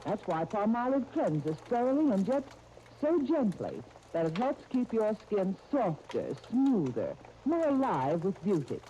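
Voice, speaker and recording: A woman's voice: medium pitch, very animated, a little slow, warm tone. A woman announcer reading a persuasive 1930s radio advertisement, coaxing and intimate in her direct address. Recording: dull, noisy 1939 radio disc.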